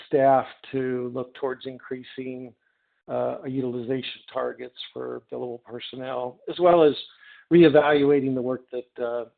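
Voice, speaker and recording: A male finance executive explaining figures on an earnings call in a measured, matter-of-fact way. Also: mid-range voice, gravelly. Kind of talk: explanatory business answer. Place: conference call line. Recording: muffled, band-limited conference call audio.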